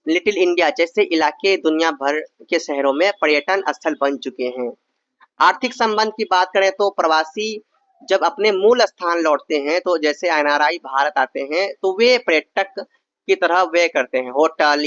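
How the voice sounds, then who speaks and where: A man's voice: on the high side, fairly bright tone, no echo, highs cut off. A young man from Bihar tutoring for exams, reading out answers and explaining them in casual asides, often checking whether students understand. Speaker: exam tutor. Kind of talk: exam-prep lesson, reading answers and explaining.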